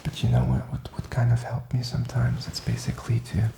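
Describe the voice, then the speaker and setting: Whispering man, very gravelly. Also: mid-range voice, sing-song, brisk, echoey room. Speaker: ASMR content creator. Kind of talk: whispered ASMR, rambling personal talk.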